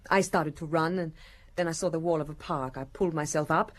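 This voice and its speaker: An actress playing an evasive, cunning female criminal under questioning, halting and defensive, in a radio murder mystery. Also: sits low. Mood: subdued confession.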